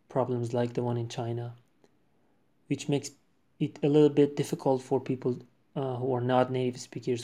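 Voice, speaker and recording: A man's voice: mid-range voice, mellow. A man from Iran, an English speaker, hosting a solo podcast in a conversational, unscripted way. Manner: conversational, frequent fillers and restarts. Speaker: podcast host.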